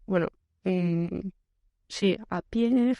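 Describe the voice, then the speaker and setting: A woman's voice: medium pitch, drawn-out words, dark tone, no room sound. A woman talking as a guest on a casual podcast, easygoing and searching for her words as she goes. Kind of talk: casual conversational interview.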